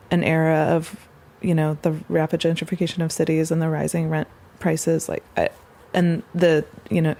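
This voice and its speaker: A woman's voice: sits low, gravelly, warm tone. A woman speaking casually and reflectively in an interview. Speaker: resident of a collective house, interviewee.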